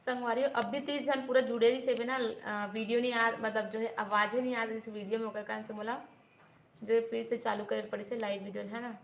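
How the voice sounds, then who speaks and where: A woman's voice: on the high side, flat delivery, a little fast, gravelly. A young woman with a Chhattisgarhi accent, chatting casually with viewers on a live stream and asking them to subscribe. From Raipur district, Chhattisgarh. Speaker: vlogger hosting a live stream. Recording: echoey room, faint hiss.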